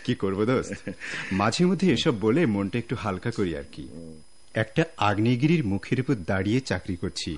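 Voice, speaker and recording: Mock-humble joker of a field officer, Bangladeshi, lively pitch, declamatory radio-play delivery. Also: male voice, brisk. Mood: wry, confiding. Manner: playfully theatrical, declamatory. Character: theatrical joking field officer, old college friend, mock-humble. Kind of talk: Bengali radio drama acting.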